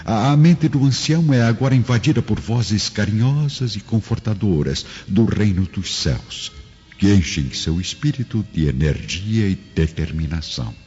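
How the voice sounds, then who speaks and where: A man's voice: fairly low pitch, lively intonation, normal speed. A man narrating a radio drama in a dramatic, scripted style. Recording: dull lo-fi audio under loud music.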